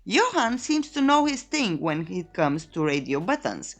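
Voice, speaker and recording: Animated woman narrating a playful recap. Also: on the low side, unhurried. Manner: tongue-in-cheek, euphemistic, coy. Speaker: narrator of comic recap videos. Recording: voice-over with background music. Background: music.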